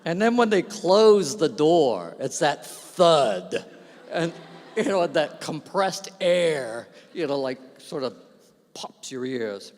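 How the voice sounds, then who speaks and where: A man's voice: on the high side, slow pace. Man telling funny stories in a big hall. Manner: self-deprecating storyteller with lively asides. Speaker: speaker at an awards ceremony. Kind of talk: humorous anecdotal speech. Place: large event hall. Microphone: stage microphone. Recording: long reverb of a large hall.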